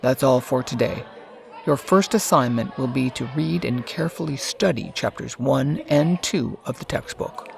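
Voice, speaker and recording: A woman doing a flustered, gravelly male professor. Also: a bass voice, some chatter behind, heavily acted. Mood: businesslike. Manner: halting, hesitant, awkward. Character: flustered, stammering male college professor, easily embarrassed.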